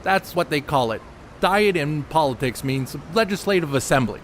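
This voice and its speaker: An actor playing a brainy, secretive ballplayer who explains things with dry wit in a radio play. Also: male voice, a little high, animated, normal speed, a little hiss or hum, natural voice. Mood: matter-of-fact. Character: brainy, secretive ballplayer, adult man, dryly matter-of-fact.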